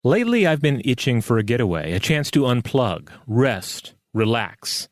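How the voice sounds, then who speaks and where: A man's voice: medium pitch, sing-song, normal speed, no echo, faint music behind. A man co-hosting a podcast, speaking conversationally into a close mic. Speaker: podcast co-host. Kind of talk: casual chat, scripted ad reads and listener mail.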